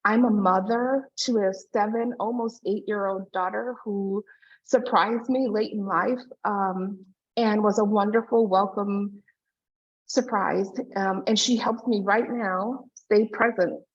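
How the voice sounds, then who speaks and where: A woman's voice: mid-range voice, steady tone, slow. Female speaker, 40s, from Hawaii, talking through earbuds on a video call; earnest and personal, audio slightly muffled. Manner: warm, personal, frequent "um" fillers. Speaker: guest speaker. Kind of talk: virtual conference talk.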